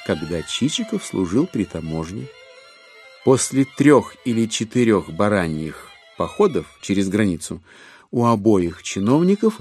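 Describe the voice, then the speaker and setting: A man narrating a literary audio play in a wry, expressive storytelling manner. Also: lowish voice.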